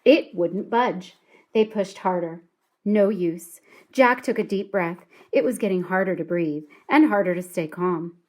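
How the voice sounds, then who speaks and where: A woman reading a children's story aloud in an expressive, deliberate and dramatic storytelling style. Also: fairly low pitch.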